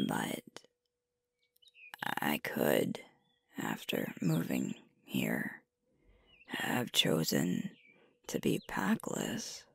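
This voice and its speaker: A woman playing a sweet, earnest young werewolf pack leader in an intimate ASMR girlfriend roleplay, breathy and tender, close to the microphone. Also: a bass voice, no echo, exaggerated voice. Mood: reflective, soft. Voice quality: breathy, whispery. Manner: slow, tender, hesitant, with pauses. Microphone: close microphone, dry.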